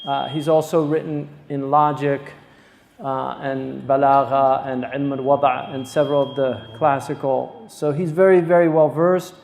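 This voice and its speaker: A man hosting a lecture, introducing a guest scholar in unscripted, halting talk with many pauses and fillers. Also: mid-range voice, level tone, gravelly, darkish tone, slight background noise. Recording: echoey hall, distant mic.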